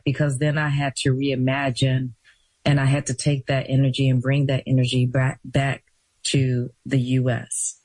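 A woman hosting a call-in radio show, speaking in a warm, affirming and unhurried way. Her voice is slightly breathy and sits at a middle pitch, recorded dry and close. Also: no echo, poor audio. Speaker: radio talk show host.

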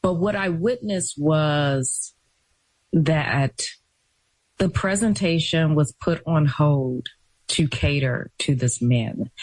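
A woman hosting a call-in radio talk show, speaking in an affirming, unhurried way. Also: deep voice, a little mellow, no echo, low-quality recording.

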